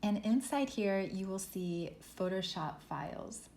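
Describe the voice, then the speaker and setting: A woman instructor leading an art workshop, walking students through software step by step in a relaxed, thinking-aloud way. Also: mid-range voice, unhurried. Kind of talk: software tutorial lesson. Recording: echoey room with faint noise.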